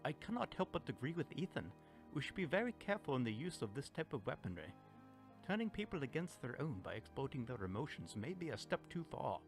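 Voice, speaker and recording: Courteous, principled male officer acted by a man, formal and measured wording, lively pitch movement, music underneath. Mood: earnest, cautious. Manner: stiffly polite, formal, measured. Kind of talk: audio drama acting.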